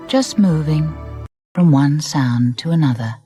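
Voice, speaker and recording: A woman narrating a guided meditation in a soft, breathy voice, soothing and deliberate, with gentle phrasing and pauses. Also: deep voice. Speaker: relaxation guide. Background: music.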